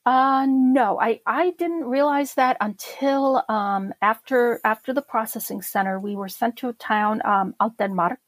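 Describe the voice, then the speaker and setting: A woman's voice: a little high, measured pace, hardly any pauses, no room sound. A middle-aged woman from Czechoslovakia, a podcast guest recalling her childhood in a reflective way, with many fillers and restarts. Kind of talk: personal memoir interview.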